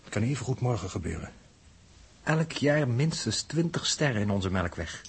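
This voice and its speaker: An actor playing a learned, precise space scientist in a 1960s Dutch radio drama, with a measured, didactic delivery. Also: male voice, mid-range voice, sing-song, vocal fry, breathy edge, exaggerated voice. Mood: grave, insistent.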